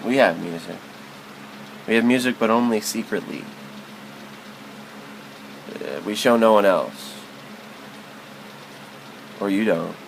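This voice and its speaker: A man giving a literary spoken-word recitation in a theatrical, halting, wordy way, stumbling and repeating himself. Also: fairly low pitch, gravelly, a little hiss or hum, low-quality recording.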